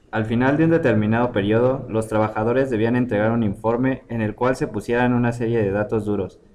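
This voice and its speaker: A man's voice: fairly low pitch, a little mellow. A man reading a scripted short story aloud as its narrator.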